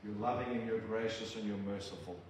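An older man with a South African accent, a visiting preacher giving an earnest sermon and prayer with halting restarts. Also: fairly low pitch. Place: church. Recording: very echoey church from a distant mic with room noise.

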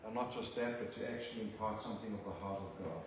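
Older South African male preacher; earnest, halting delivery; distant mic, big church reverb. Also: lowish voice, steady tone. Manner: earnest, halting, with frequent restarts. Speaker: visiting preacher. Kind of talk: sermon with prayer. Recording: very echoey church from a distant mic with room noise.

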